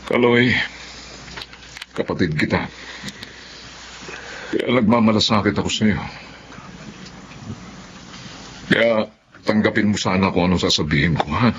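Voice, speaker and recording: A man's voice: on the low side, normal speed, pauses often, fairly bright tone, lightly acted. A man playing a stern, protective and blunt older brother in a Filipino radio drama, emphatic and admonishing. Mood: concerned. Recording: dull lo-fi audio with faint noise.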